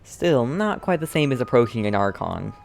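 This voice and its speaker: A woman's voice: extremely low pitch, big pitch swings, normal speed, vocal fry. A woman streamer chatting casually and playfully over gameplay, giggling and reacting. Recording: voice over game with music.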